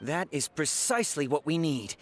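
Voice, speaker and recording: A man's voice: fairly high pitch, very animated, normal speed, a little hiss or hum. A man voicing an eager, affable, slightly bumbling fortune-teller at a market stall in a video game. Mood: salesman-like. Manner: salesman-like, theatrical, hesitant when unsure. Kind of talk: video game character acting.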